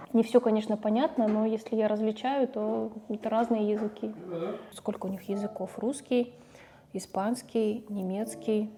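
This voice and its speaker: A middle-aged woman talks to camera for her family vlog, chatty and conversational, often stopping to correct herself. Her pitch sits in the middle of the range. A faint hum of background noise runs underneath. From Russian-speaking. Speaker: family vlogger, mother. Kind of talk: casual talk to camera.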